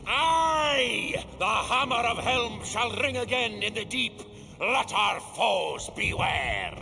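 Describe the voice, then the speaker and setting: An actor playing a proud, hearty dwarf warrior with a gruff, gravelly, blustering voice. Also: male voice, extremely high pitch, sing-song, slow, background noise, heavily acted.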